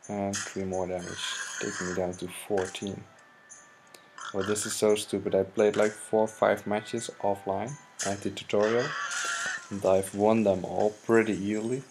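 A young man from the Netherlands with a Dutch accent, hesitantly commenting on his own card game match in halting English. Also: lowish voice, vocal fry. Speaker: gaming channel host. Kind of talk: gameplay commentary. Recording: noisy home mic recording.

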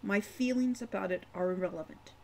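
A woman's voice: medium pitch, brisk, creaky. A woman voicing a serious, reserved young man who speaks in clipped, restrained lines. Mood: quiet.

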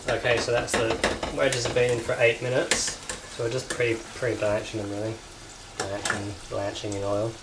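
A man's voice: medium pitch, moderate pace, very noisy. Chatty man cooking in an echoey kitchen. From United Kingdom. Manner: relaxed, chatty, talks while working. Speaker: cooking show chef. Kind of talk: casual cooking demonstration. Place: home kitchen. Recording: echoey kitchen with loud sizzling. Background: frying and sizzling.

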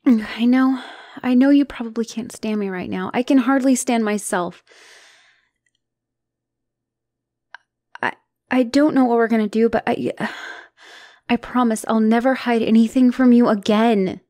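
A woman's voice: on the high side, moderate pace, dark tone, no echo, studio quality, lightly acted. A woman voicing an anxious, self-doubting young spider-woman girlfriend in an ASMR roleplay, speaking hesitantly and emotionally and often slipping toward a whisper. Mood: apologetic, distressed.

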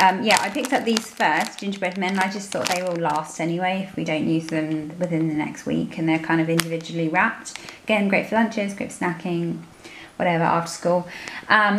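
British woman, roomy homemade vlog sound. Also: on the low side. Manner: informal, chatty. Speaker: family vlogger. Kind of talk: casual grocery haul chat, voice-over to handheld filming. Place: indoor room at home. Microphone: handheld camera microphone. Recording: roomy, noisy home camera recording.

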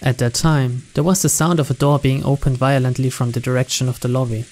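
A man's voice: mid-range voice, steady tone, brisk, darkish tone, a little hiss or hum. A man reading visual novel narration aloud in a storytelling style. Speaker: narrator, let's player.

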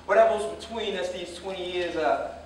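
A man's voice: sits high, fairly quick, darkish tone, lightly acted. An American man acting a proud, defensive grown son just out of prison, insistent, in a staged play reading. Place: indoor staged reading, recorded from afar. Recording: echoey, noisy stage from far off.

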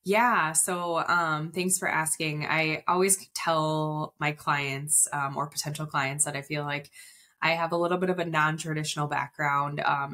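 A woman's voice: deep voice, pushed and bright. A woman, chatting and explaining as a guest in a casual podcast interview. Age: 30s. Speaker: interview guest, wedding planner. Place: indoor room on video call.

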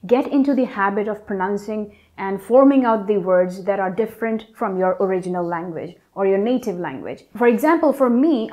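A young woman whose first language is Urdu talks straight to camera, explaining tips with earnest emphasis and lively hand gestures. Her voice sits in a middle range for a woman. The sound is close and clean from a clip-on mic in a room at home. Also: medium pitch. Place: indoor room with curtains. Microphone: lapel mic.

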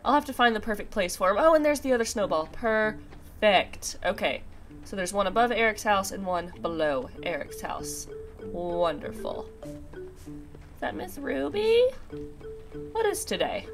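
A woman's voice: mid-range voice, sing-song, measured pace. A woman hosting a casual let's play, chatting to herself in a slightly nasal, congested voice with a sinus infection. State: congested with a sinus infection, recovering from a cough and sore throat. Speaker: gaming video host. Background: video game music.

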